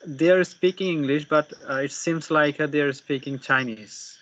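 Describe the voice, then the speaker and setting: A man learning English, chatting haltingly as he searches for words. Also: on the high side, fairly bright tone. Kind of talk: casual practice conversation. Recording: dull, noisy with insect chirps.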